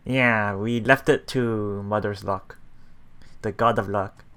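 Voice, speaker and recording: A man doing casual let's play commentary, haltingly reading and paraphrasing story text aloud while thinking out loud. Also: lowish voice, steady tone, smooth. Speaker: let's player.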